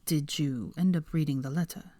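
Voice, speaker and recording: A woman voicing a cool, concerned young man in an audiobook, quiet and restrained, with a drawl. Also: low voice, moderate pace, dry recording, studio quality. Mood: quietly apprehensive.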